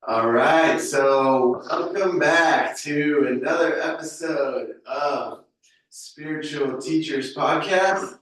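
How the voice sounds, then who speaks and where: Male podcast host, unhurried casual delivery, roomy distant sound. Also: fairly high pitch, fairly bright tone. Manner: easygoing, unhurried. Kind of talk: casual interview chat. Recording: echoey room, distant mic.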